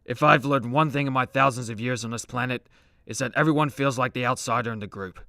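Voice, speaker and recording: Man playing gravelly easygoing boozy superhero. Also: mid-range voice, fast, bright, forceful tone, dry recording, studio quality. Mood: gentle, sincere. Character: immortal male Greek demigod superhero, hard-drinking, easygoing, confiding. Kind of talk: superhero comedy radio play acting.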